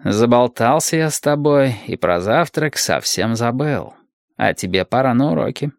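A man voicing a calm, cultured, ancient male guardian from another world, velvety and formal, with measured, deliberate phrasing. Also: mid-range voice, creaky, no room sound, clean studio sound. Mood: calm, thoughtful.

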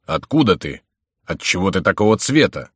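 A man's voice: low voice, big pitch swings, normal speed, a bit bright, no echo, studio quality, exaggerated voice. A man voicing a concerned, dignified king in an audiobook, with a grand, questioning manner. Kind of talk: audiobook character voice.

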